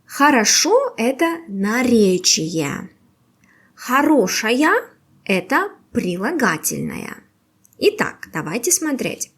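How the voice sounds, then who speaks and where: A woman teaching a beginner language quiz, with patient, slow and carefully exaggerated pronunciation. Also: a little high, sing-song, breathy edge.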